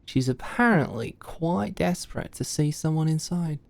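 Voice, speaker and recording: A woman's voice: low-pitched, lively intonation, moderate pace, gravelly, a little mellow, a little hiss or hum. A woman playing a composed, wry senior corporate mentor who muses and confides in a scripted ASMR audio roleplay. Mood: fond, wry.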